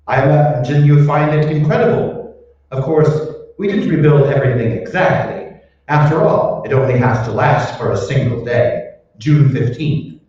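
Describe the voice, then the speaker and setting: A man playing a cold, calculating scientist in an old-time radio drama, deliberate, matter-of-fact and gloating. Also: a little high, a little mellow, lightly acted. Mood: cold, explanatory. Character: cold, calculating male mad scientist, smugly explaining. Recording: echoey hall, distant mic, muffled.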